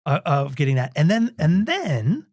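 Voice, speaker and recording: Podcast co-host, male, higher pitch, loose rambling talk full of ums. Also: huge pitch swings, moderate pace, darkish tone. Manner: rambling, many fillers and restarts. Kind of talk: casual chat.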